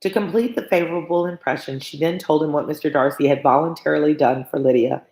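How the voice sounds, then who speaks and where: A woman with a low-pitched voice hosts a read-along. She moves between narrating a novel and informal, conversational asides, like a tutor talking a class through the book. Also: fast, warm tone, rough recording. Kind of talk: reading a novel aloud with chatty commentary.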